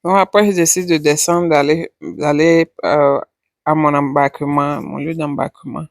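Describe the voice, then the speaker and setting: A woman's voice: deep voice, fairly even intonation, normal speed, no room sound, clean studio sound. A woman vlogger chatting casually over her travel footage, rambling and repeating her words.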